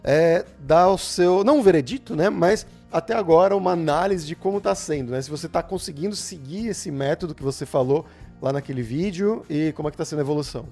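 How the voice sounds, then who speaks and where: A man's voice: on the high side, fairly quick, a little mellow, faint music behind. A young man with a Brazilian Portuguese accent, hosting a casual chat, leading it by recapping and asking his co-host questions. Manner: leads the talk, asks questions and recaps. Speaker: channel host.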